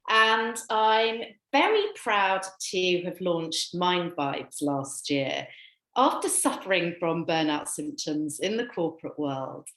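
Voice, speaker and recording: A woman's voice: mid-range voice, fairly bright tone, roomy sound, poor audio. A woman wellbeing coach hosting an online webinar over a video call, friendly and upbeat, with frequent "uh" fillers.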